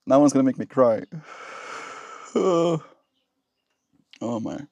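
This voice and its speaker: A man's voice: mid-range voice, expressive, slow, gravelly, a little mellow, dry recording, muffled recording. Young male streamer, native Afrikaans speaker from southern Africa, playful and rambling, dry recording. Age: 20s. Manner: playful, rambling, laughs often. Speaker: livestreamer. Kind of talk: casual chat with a stream audience.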